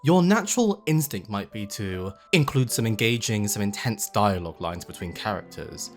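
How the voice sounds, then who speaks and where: Male video essay host, low register, very animated pitch movement, explanatory and emphatic, music bed underneath. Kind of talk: scripted narration with casual asides. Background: background music.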